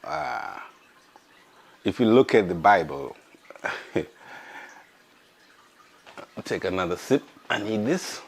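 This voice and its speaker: Podcast host, hoarse, very low voice, slow and searching. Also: male voice, big pitch swings. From Africa. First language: an African language. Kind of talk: casual monologue.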